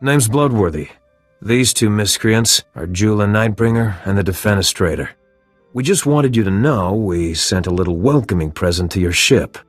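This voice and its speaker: An actor playing a seasoned, wry bounty hunter with a sly manner in a video game cutscene. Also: male voice, on the low side, expressive, moderate pace, faint music behind. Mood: amused, casual.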